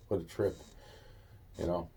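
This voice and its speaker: A man giving a rambling, informal monologue to camera, halting and repetitive, often trailing off and circling back, with slangy, profane wording. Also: dark tone. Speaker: solo video maker.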